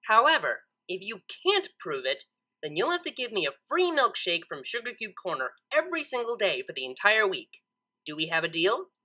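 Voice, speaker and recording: A woman's voice: medium pitch, sing-song, normal speed, pushed and bright. A woman voicing a bookish, exasperated young female cartoon unicorn who speaks in a precise, insistent way. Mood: challenging. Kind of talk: cartoon fan fiction character reading.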